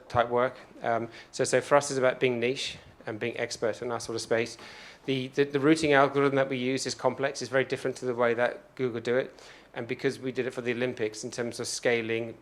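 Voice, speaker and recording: Halting startup founder answering questions. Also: male voice, medium pitch. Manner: halting, repeated restarts. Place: stage at a demo-day event.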